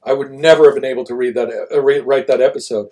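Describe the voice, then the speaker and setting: A man's voice: medium pitch, fairly even intonation, fast talker, a little mellow. Middle-aged man co-hosting, native Swedish and English. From Sweden. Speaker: live stream co-host. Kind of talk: unscripted live discussion. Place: small indoor room, seated.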